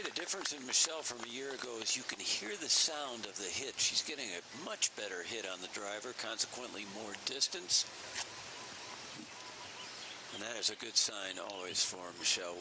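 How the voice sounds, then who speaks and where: A man calls golf live, explaining the play in a measured, analytical tone. His voice sits low. Heavy noise hangs behind him. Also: animated. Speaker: golf commentator. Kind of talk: live sports commentary.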